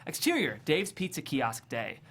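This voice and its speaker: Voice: medium pitch, huge pitch swings, moderate pace, a bit bright. A narrator reading stage directions from a script at a comedy table read.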